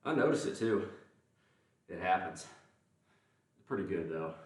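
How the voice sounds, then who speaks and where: A man's voice: low voice, unhurried. Southern-accented man, rambling gym livestream chat, heavy room echo, distant from the mic. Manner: relaxed, rambling and self-deprecating, answering viewer comments between sets. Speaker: livestreaming lifter. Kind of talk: casual chat with chat viewers. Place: indoor gym.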